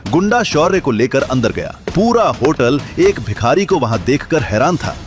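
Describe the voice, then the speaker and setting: A man's voice: mid-range voice, very animated, moderate pace. A man narrating a scripted drama story in a dramatic storytelling style. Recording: loud music bed under voice. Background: dramatic music.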